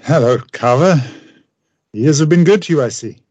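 An actor in a radio play playing an obsessive, idealistic scientist with a husky voice and an intense, grandiose, formal manner. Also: male voice, on the high side, animated, moderate pace, a little mellow, no echo, muffled recording. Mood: guarded, polite. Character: obsessive, idealistic male scientist with a vengeful streak.

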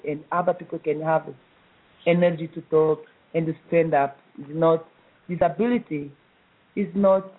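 A middle-aged woman with a Congolese accent answers interview questions over a thin, phone-like line. Her English is simple and halting, and she pauses often between phrases. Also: low voice.

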